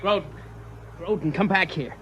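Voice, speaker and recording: An actor playing an agitated, bossy spaceship officer with an urgent, exclamatory delivery in vintage radio drama style. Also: male voice, high voice, normal speed, fairly bright tone, highs cut off. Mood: urgent concern. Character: agitated, bossy ship's officer minding a patient. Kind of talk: 1950s radio drama acting. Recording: noisy vintage radio, often off-mic.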